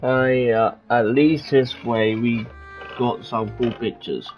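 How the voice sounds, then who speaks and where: Woman gamer, very low voice, casual teasing commentary. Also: steady tone, takes it slowly, darkish tone, faint music behind. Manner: joking, teasing.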